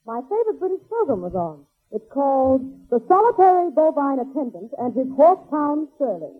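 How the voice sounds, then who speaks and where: A chirpy female singing star in an old radio comedy, polite and playfully affected. Her voice is high and very lively, heard through a thin, narrow-band recording. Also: fairly quick. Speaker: singer and supporting player. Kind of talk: 1940s radio comedy acting.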